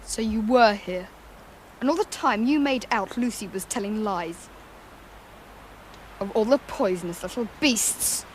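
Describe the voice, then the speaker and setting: An actress playing an earnest, bossy British schoolchild on an adventure in a radio drama. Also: female voice, medium pitch, measured pace, pauses often, a little hiss or hum, natural voice, poor audio. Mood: indignant.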